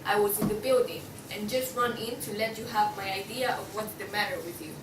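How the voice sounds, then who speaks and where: A woman's voice: mid-range voice, steady tone, brisk, natural voice. A woman reading the part of a breezy, blunt champion of frivolity in a stage play. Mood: breezy, insistent. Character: breezy, frivolous woman urging a lighter touch. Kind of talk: student table read of a stage play. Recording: distant echoey room with clear noise.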